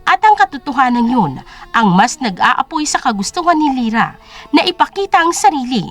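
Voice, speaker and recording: A woman's voice: sits high, sing-song, normal speed. A woman storyteller reading dramatic scripted narration for an audio drama. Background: music.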